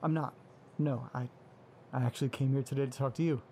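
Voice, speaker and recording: Man playing a nervous young man, hesitant, apologetic, mellow dark voice, audio drama. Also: medium pitch, steady tone, a little fast, slight background noise, close to an everyday voice. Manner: hesitant, apologetic, stumbling over words. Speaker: lead character.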